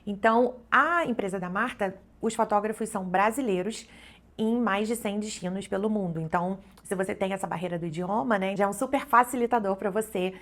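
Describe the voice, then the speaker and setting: A woman's voice: mid-range voice, brisk, fairly bright tone. A middle-aged Brazilian woman, a travel vlogger and photographer, chatting casually to her viewers with lots of little fillers. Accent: Brazilian Portuguese. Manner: chatty, frequent "né" and "então" fillers. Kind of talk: casual chat to camera.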